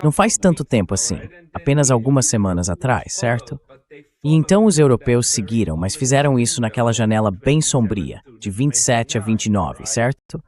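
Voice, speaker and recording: A man's voice: medium pitch, very animated, normal speed, a little mellow. A man's synthetic voice dubbing the host of a live discussion in translation. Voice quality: synthetic dubbed voice. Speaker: interview host.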